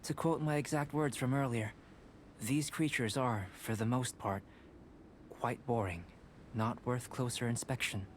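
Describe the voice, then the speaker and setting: An actor voicing a calm, erudite young male alchemist who speaks in a measured, articulate, academic way. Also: mid-range voice, slight background noise, lightly acted. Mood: assured. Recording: studio game voice with clear music.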